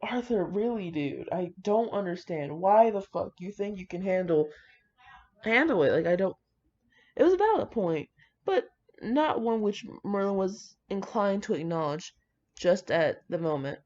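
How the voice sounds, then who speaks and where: A woman's voice: mid-range voice, animated, unhurried, a little mellow. A woman reading and reacting to fan fiction in a casual, brash, slangy and dramatic way. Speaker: video creator reading fan fiction aloud with commentary. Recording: dull lo-fi audio.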